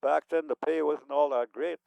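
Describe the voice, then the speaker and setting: A man's voice: on the high side, steady tone, normal speed, vocal fry, darkish tone, dry recording, dull audio. A man in his seventies giving rambling, unscripted remarks at a community event, chuckling often as he talks. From Manitoba, Canada. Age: 70s.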